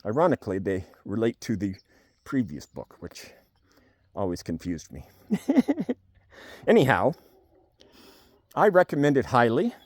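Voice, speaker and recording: Man rambling casually, lively swinging pitch. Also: measured pace, long pauses, no room sound. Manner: rambling, wry, jokey. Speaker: video blogger giving a book review. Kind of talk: spontaneous casual talk to camera. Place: on a farm among cows.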